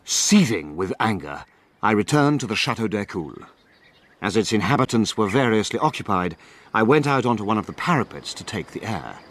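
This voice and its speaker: An actor playing a gentleman narrating his own adventure in a radio drama, measured and formal. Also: on the low side, big pitch swings. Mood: composed. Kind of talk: scripted first-person narration in radio drama.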